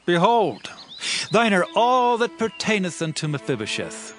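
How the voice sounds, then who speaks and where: A sorrowful exiled monarch acted by a man in a dramatized scripture recording. His voice sits somewhat high for a male, and his formal archaic phrasing is solemn and commanding. Clear music plays behind him. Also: big pitch swings, unhurried, fairly bright tone, exaggerated voice. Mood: questioning, stately. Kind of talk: dramatized audio Bible acting.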